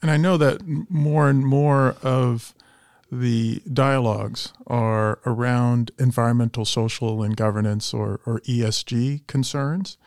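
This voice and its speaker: A man's voice: medium pitch, fairly even intonation, measured pace, a little mellow, no room sound, clean studio sound. A man hosting a podcast interview in a polished, professional way, introducing topics and putting questions to a guest. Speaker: podcast host and investment strategist.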